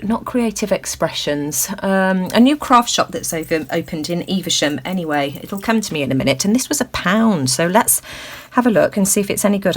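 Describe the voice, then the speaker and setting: A woman hosting a craft tutorial, thinking aloud with lots of ums and a slightly croaky voice. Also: medium pitch. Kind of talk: casual crafting demonstration. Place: indoors at a craft table.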